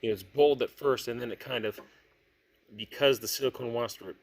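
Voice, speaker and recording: A man's voice: medium pitch, brisk. A man hosting a casual hands-on tabletop gaming product review, talking conversationally and thinking aloud as he goes.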